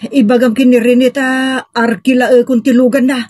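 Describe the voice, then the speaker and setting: A woman playing a feisty village woman, colloquial and expressive, in an audio comedy drama. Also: fairly high pitch, moderate pace, no echo, studio quality, natural voice. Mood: flustered. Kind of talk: Ilocano radio-style comedy drama acting.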